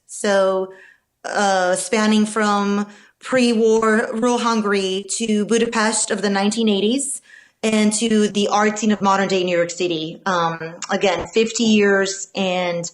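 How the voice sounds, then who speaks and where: A woman from Romania, a novelist guest answering podcast interview questions in a thoughtful, measured way with frequent fillers and restarts. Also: mid-range voice, poor audio.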